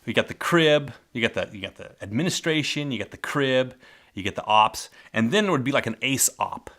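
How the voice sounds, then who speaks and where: A middle-aged man telling old work stories in a casual, rambling way, often correcting himself mid-sentence, with a loud voice. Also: mid-range voice, lively intonation, a little fast. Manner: rambling, self-correcting, warmly nostalgic storyteller. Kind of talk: casual spoken memoir. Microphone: close mic.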